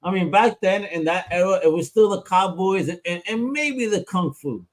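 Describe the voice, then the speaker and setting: A man hosting a podcast in a hype, energetic, slangy way. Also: sits high, moderate pace. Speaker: podcast host. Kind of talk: casual chat.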